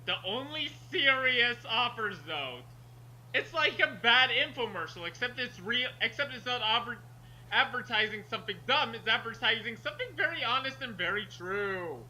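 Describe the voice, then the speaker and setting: A man with a high and very sharp, bright voice joins a group story reading. He tosses in jokey, geeky commentary and reads bits aloud in a mock-serious way. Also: expressive, moderate pace, rough recording. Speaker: co-reader and commentator. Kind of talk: casual joking commentary and mock read-aloud.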